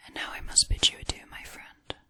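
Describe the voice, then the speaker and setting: Woman acting a whispering, sinister dark witch. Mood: mock-apologetic. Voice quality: breathy whisper. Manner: slow, theatrical, formal old-fashioned wording. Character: sinister dark witch, chaotic, coaxing, mock-courteous. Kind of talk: ASMR roleplay.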